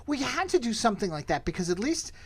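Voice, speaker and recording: Male co-host. Conversational, amused, animated delivery. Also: very high-pitched, fast talker. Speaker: podcast co-host. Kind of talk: casual humorous episode recap.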